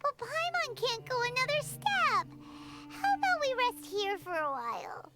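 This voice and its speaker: A woman voicing a tiny, childlike, bossy fairy companion in an anime video game, with a squeaky voice and excitable manner. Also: a little slow, very noisy, full character voice. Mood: weary, suggesting. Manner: speaks of self in third person. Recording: game music behind voice.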